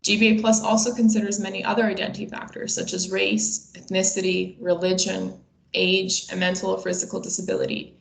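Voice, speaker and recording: A woman in her twenties from Bosnia and Herzegovina giving a scripted webinar presentation in a measured, explanatory way, occasionally stumbling over a word. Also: mid-range voice, completely flat delivery, creaky. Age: 20s. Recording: roomy, echoey sound.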